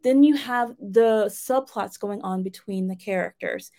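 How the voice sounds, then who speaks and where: A woman speaks at a medium pitch in a casual teaching style. She addresses listeners directly, like a coach who hosts her own lesson. Her manner is conversational and encouraging. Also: a little slow, warm tone. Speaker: writing coach and host. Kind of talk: casual instructional talk to listeners.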